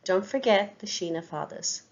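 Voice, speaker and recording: A woman's voice: medium pitch, expressive, normal speed. A woman teaching an Irish language lesson, saying each word several times for learners to repeat. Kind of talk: language lesson with repetition drills. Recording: dull lo-fi recording.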